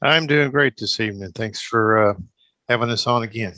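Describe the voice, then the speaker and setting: A man being interviewed as a guest on a radio show, answering in a relaxed, rambling way with frequent pauses. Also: fairly low pitch, no room sound. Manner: relaxed, rambling, hesitant with fillers. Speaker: guest, association president.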